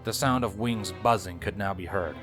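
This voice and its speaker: A man's voice: low voice, normal speed, music in the background. A man reading a story aloud as narrator, in a dramatic scripted style. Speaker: fan fiction narrator.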